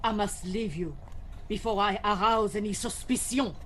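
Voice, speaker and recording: A woman playing a flamboyant French gentleman thief with a put-on, exaggerated French accent in a comic radio drama, pompous and melodramatic. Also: medium pitch, moderate pace, slight background noise, close to an everyday voice. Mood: conspiratorial. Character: flamboyant male French gentleman burglar, theatrical and grandiose.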